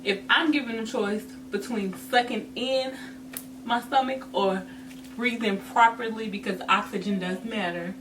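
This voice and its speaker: A woman's voice: on the high side, steady tone, measured pace. A woman vlogger giving casual, chatty advice to camera in a sassy, emphatic way with informal slang. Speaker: host of a fashion advice vlog. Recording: distant mic with noticeable background noise.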